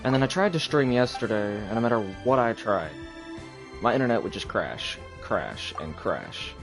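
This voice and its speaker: A young adult man chatting casually on a live game stream in a rambling, halting, low-key way. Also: big pitch swings. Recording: game music behind voice. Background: video game music.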